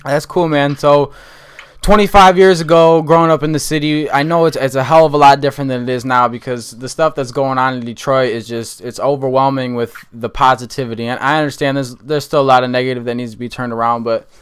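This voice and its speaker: A man in his twenties hosting a relaxed interview show, easygoing and rambling with lots of fillers. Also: medium pitch, a little fast, smooth. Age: 20s. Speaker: podcast host. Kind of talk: casual interview chat.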